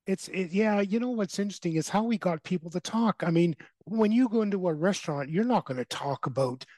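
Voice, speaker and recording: Male radio contributor, higher pitch, hesitant repetitive phrasing, dry close sound. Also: expressive, darkish tone, dry recording. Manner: halting, repeats phrase openings. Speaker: book segment contributor. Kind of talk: casual radio discussion.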